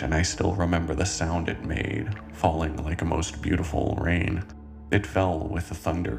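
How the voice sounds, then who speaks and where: A man playing a brooding, unsettled cannibal confessing his past in a slow, deliberate horror monologue. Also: deep voice, gravelly. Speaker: horror anthology writer and narrator. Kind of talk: first-person horror monologue, dramatic reading.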